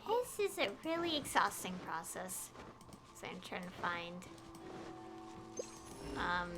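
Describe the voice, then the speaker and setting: A woman game streamer chatting casually on a livestream in a rambling, conversational way. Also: medium pitch. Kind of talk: casual livestream chat over gameplay.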